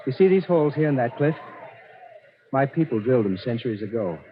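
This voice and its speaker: A man acting in a 1940s radio drama, playing a calm, shrewd desert man with a matter-of-fact, explanatory manner. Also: medium pitch, a little fast, natural voice. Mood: calm, explaining. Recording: muffled, noisy 1940s radio broadcast.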